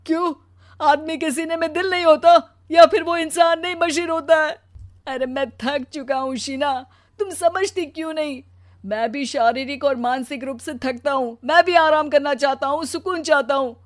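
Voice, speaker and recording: Woman acting a weary high-voiced cartoon husband. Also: normal speed, no room sound, clean studio sound, close to an everyday voice. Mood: anguished. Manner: plaintive, aggrieved, pleading. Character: weary, put-upon young Indian husband. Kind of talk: Hindi cartoon story dialogue.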